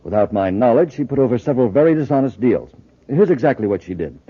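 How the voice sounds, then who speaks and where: An actor playing a nervous, honest plant owner under pressure in old-time radio drama, hesitant and earnest. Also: male voice, mid-range voice, very animated, quick pace. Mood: anxious, earnest. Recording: tinny, husky-sounding vintage radio recording.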